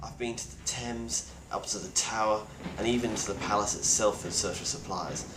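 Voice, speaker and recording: Grim, low-voiced survivor, acted by a man, echoey recording. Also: normal speed, close to an everyday voice. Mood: earnest, advising. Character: grim, weary British male plague survivor leaving video testimony. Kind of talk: in-character monologue, found-footage video diary. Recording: roomy echo with clear background noise.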